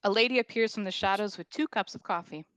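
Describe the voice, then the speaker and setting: A woman's voice: mid-range voice, fast, fairly bright tone, smooth, no echo. A woman reading stage directions at a comedy table read, in an informal, playful way.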